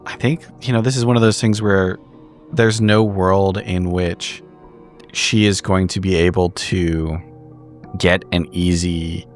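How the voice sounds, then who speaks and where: A man playing a tabletop game, describing his character's choices conversationally in a reflective, halting way. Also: deep voice. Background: background music.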